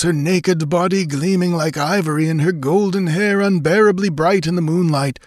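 An older Norse warrior played by a male narrator speaks high and strained, fervent and haunted. He declaims like a wild-eyed storyteller in a fantasy audiobook, close and dry. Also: a little mellow, no room sound. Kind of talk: dramatic fantasy audiobook acting.

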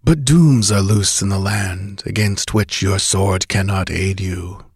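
A man's voice: low voice, slow, creaky, slightly rough, dry recording, studio quality, heavily acted. A man voicing an ancient ghostly sage in a fantasy storybook, with sepulchral tones and a solemn, commanding, oratorical manner. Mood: commanding, portentous. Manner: grandiose, archaic, oratorical delivery. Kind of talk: dramatic audiobook fantasy reading.

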